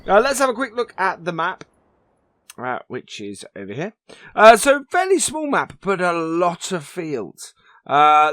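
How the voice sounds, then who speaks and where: Chatty guy doing a game playthrough, bright voice, a bit high, lots of uhs, faint hiss behind. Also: no echo, studio quality. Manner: upbeat, rambling with many ums and uhs. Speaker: gaming channel host. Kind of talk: casual game commentary.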